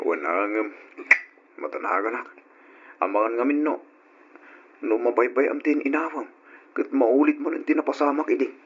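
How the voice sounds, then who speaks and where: A man's voice: medium pitch, normal speed, long pauses, natural voice. A man playing an anxious, protective husband in an old-style radio drama. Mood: worried, coaxing. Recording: dull radio audio, faint noise.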